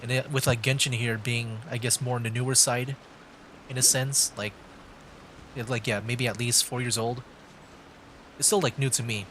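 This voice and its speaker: Man casually thinking aloud, medium pitch. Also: fairly even intonation, normal speed, pauses often, fairly bright tone, slight background noise, poor audio. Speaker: game streamer. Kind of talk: casual livestream commentary. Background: game music.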